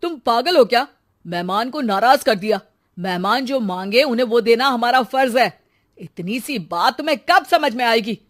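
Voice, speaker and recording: A man voicing a stubborn, bossy and pious village husband who is quick to scold, in a cartoon story. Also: very high-pitched, expressive, fairly bright tone. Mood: angry.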